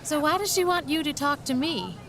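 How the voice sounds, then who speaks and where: An actress playing a blunt, nosy, unsentimental 1940s local woman in an audio drama, deadpan and matter-of-fact. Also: high voice, fairly quick, a crowd in the background. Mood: prying. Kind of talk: naturalistic period audio drama acting.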